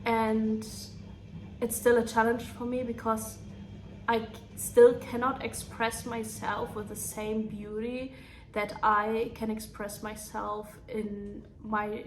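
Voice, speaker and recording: A young German woman, a native German speaker, talking earnestly in a soft, unhurried way about writing her novel. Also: on the high side, flat delivery, slight background noise, poor audio. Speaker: author reading her own novel. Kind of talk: reflective vlog talk.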